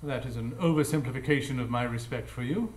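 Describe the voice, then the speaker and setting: A man playing a cool, aloof, analytical gentleman detective in a live radio drama, clipped, formal and guarded. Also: medium pitch, quick pace, slight background noise, natural voice. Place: live performance space before an audience. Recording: roomy, echoing live stage recording.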